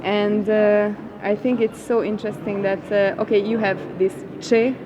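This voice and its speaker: A woman's voice: medium pitch, little pitch movement, normal speed, crowd noise, poor audio. A woman interviewing a guest in English, encouraging him with short agreeing sounds.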